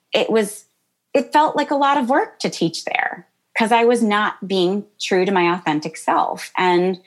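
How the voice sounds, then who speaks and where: A woman yoga teacher with a high-pitched voice, answering interview questions in a bubbly, chatty way with lots of fillers and laughter. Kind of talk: interview answers, casual chat.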